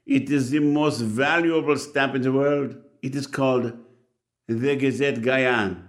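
An actor playing a gentle elderly stamp dealer in a radio drama, with a savoring, deliberate delivery. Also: male voice, mid-range voice, steady tone, natural voice. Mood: reverent.

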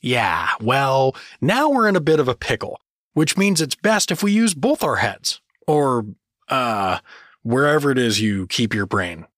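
A man's voice: mid-range voice, sing-song, measured pace, a bit bright, dry recording, studio quality. An actor playing a hapless, sarcastic everyman hero in a comedic fantasy audio drama, joking and hesitant. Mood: sarcastic, grumbling.

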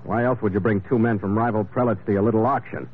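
Actor as a blunt American ship's captain, tinny recording. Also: male voice, on the low side, fairly even intonation, fast, natural voice. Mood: confrontational. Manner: blunt, clipped, sardonic. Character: tough, wry, protective male ship's captain. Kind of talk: 1940s-50s radio drama acting. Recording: tinny, noisy old radio recording.

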